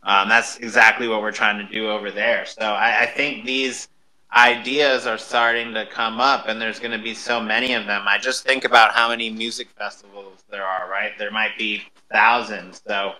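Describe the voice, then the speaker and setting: A man's voice: on the low side, level tone, moderate pace, sharp tone, rough recording. A man hosting a casual panel talk, informal and enthusiastic, with slangy promotional patter. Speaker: host of an audio panel, music performer.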